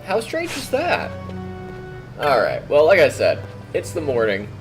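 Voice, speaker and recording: Groggy, slow-talking male streamer. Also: a little high, faint music behind. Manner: relaxed, talking to himself while troubleshooting. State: groggy morning voice. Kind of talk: casual live-stream chat.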